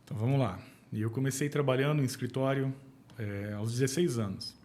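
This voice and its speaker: A Brazilian man in his forties, an accountant talking as a podcast guest, calm and plain, hesitating and restarting now and then. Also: mid-range voice, a little fast. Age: 40s. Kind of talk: casual interview chat.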